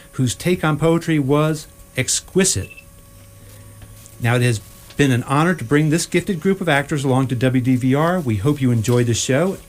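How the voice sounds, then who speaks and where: Theatrical male announcer, medium pitch. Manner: theatrical, formal. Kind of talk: scripted announcement.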